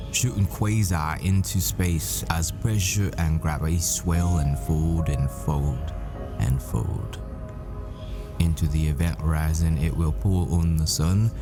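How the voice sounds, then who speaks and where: Guy playing brooding assassin boyfriend in an audio roleplay, really low dark voice, talks slow, world-weary. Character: world-weary, foul-mouthed assassin boyfriend, confessional and grave. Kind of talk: dramatic audio roleplay monologue.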